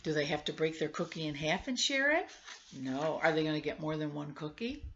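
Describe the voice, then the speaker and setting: A woman with a fairly low voice reads to children in a very animated, unhurried way. She stops to coax her young listeners with questions and little exclamations. The sound is slightly dull and lo-fi. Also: slight background noise. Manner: coaxing, exclaiming, conversational asides to children. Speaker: storybook reader for children. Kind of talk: read-aloud storytelling with questions to young listeners.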